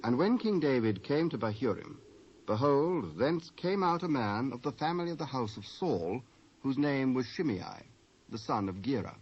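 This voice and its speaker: A British man narrating scripture in a solemn, measured, scripted style. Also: mid-range voice, expressive, a little mellow, slightly rough. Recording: dull lo-fi cassette sound.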